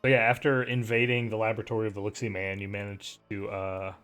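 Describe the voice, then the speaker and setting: A man running a tabletop game as game master, describing scenes in a relaxed way with many pauses. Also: fairly low pitch, faint music behind.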